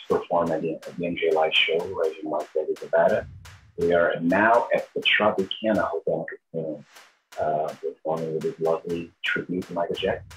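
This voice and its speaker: Very deep-voiced Brazilian-accented man. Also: a little hiss or hum, low-quality recording. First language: Portuguese. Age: 30s. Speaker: tribute show performer, interviewee. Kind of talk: informal interview answers.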